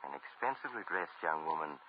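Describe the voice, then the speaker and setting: An actor playing a sinister, genteel traveler who narrates a 1940s radio drama in an ominous, insinuating, unhurried manner. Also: male voice, a bass voice, lively intonation. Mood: ominous, inviting. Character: sinister, genteel male mysterious traveler storyteller. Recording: muffled 1940s radio recording. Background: music.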